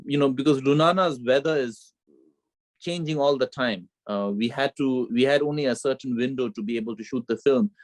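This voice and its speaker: A man's voice: medium pitch, a little fast, dry recording, low-quality recording. A middle-aged man from Bhutan, a film director telling his story earnestly on a video call from home, repeating phrases and saying "you know" often. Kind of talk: remote interview, reflective storytelling. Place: home with children nearby.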